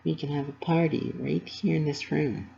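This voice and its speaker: Sweet, cheery young female pony, voiced by a man, soothing and playful, higher register, dull home-style audio. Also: fairly even intonation, normal speed, vocal fry, dark tone.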